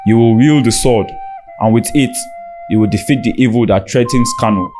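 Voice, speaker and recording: A man's voice: mid-range voice, normal speed, a little mellow, faint music behind, close to an everyday voice. A man voicing cold, solemn ancient spirits. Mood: cold, ominous. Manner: solemn, pronouncing. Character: cold, distant, ominous spirits of the land.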